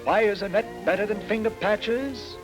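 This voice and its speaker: A man's voice: high voice, normal speed, close to an everyday voice. An actor playing a wry, playfully pompous male lecturer giving comic commentary in a 1940s training film. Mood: wry, amused. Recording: 1940s film soundtrack over music.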